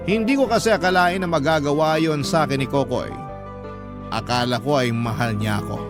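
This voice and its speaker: A man's voice: on the high side, brisk, close to an everyday voice. A man reading a betrayed wife's first-person story as a radio host, in sorrowful, dramatic scripted narration. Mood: hurt, disbelieving. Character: betrayed wife telling her story, hurt and sorrowful. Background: background music.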